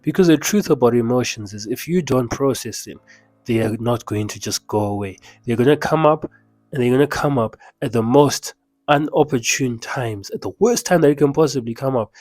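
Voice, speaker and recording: Young Zimbabwean man, mid-pitched, dry close sound, talks to camera like a coach with faint music under him. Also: moderate pace, a little mellow, dry recording. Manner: emphatic and conversational, with asides and chuckles. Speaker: mindset coach. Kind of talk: casual motivational talk to camera.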